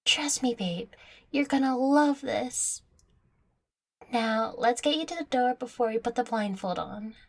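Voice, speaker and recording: A playful young catgirl girlfriend acted by a woman speaks in a fairly high voice at a very unhurried pace. She is affectionate and teasing, coaxing the listener tenderly in an intimate audio roleplay. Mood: excited.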